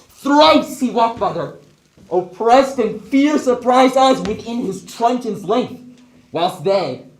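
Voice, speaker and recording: Solemn, loyal courtier for Shakespearean stage, acted by a young woman: measured, formal, mid-pitched, very roomy sound. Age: young adult. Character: Horatio, earnest solemn loyal courtier reporting to a prince. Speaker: student actor. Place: school theatre stage. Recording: echoey stage hall.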